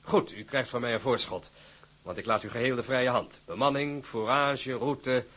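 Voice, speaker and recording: An actor playing a genial, businesslike company director in a radio drama, formal, courteous and hearty. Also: male voice, mid-range voice, moderate pace, fairly bright tone, lightly acted. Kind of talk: Dutch radio drama acting.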